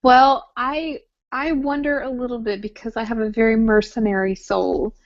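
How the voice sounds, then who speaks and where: A woman co-hosting a casual chat show about a TV drama, speaking in an animated, exclaiming, teasing way. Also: fairly high pitch, moderate pace. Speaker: podcast co-host. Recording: dull lo-fi audio.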